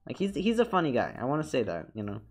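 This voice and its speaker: A man's voice: medium pitch, huge pitch swings, fast. A young man with a congested, nasal voice chatting casually as a streamer while he animates, rambling with repeated words and laughs.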